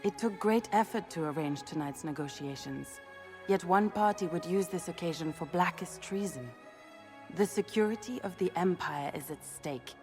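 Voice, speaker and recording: An actress playing a poised, formal aristocratic court schemer in a video game, grave. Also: female voice, fairly low pitch, normal speed, a little mellow, faint music behind, close to an everyday voice. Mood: grave, measured. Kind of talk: video game character acting.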